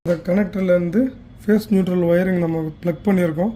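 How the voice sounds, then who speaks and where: High-voiced man, mellow demonstrator. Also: fairly even intonation, fast. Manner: plain step-by-step explaining with some English technical words. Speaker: product demonstrator for a heater supplier. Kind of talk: hands-on instructional demonstration. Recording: faint background noise.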